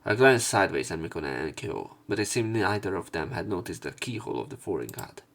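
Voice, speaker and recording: A man reading a visual novel aloud with commentary, in a theatrical, expressive way. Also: deep voice, fairly quick. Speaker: let's player narrating and commenting on a visual novel. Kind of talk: dramatic read-aloud with commentary.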